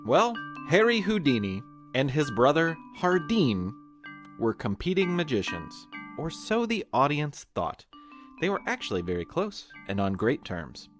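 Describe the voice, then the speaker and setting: A man's voice: on the high side, huge pitch swings, measured pace, music in the background. An American man reading a playful scripted quiz as host, talking straight to the listeners. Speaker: podcast host and quizmaster.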